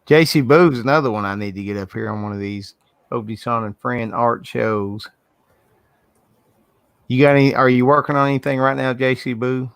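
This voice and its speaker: A man's voice: mid-range voice, steady tone, moderate pace, fairly bright tone, clear, resonant tone, no echo. Southern male host, casual rambling delivery, dry laptop-call audio. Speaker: host of an art livestream. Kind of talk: casual chat. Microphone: video call from a laptop.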